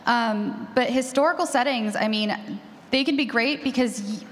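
A woman's voice: on the high side, moderate pace. A woman speaking informally on a panel as a horror fiction podcaster. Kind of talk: casual panel discussion. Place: large echoey room at a convention panel. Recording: echoey hall panel recording.

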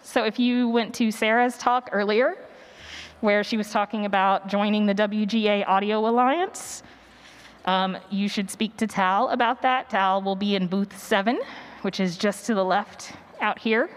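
A woman's voice: mid-range voice, fairly even intonation, unhurried. A woman presenting a workshop, chatting casually and playfully with her audience from a stage. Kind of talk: casual chat with audience before a talk. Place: on a stage at a live conference session. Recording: noticeable background noise, live event ambience.

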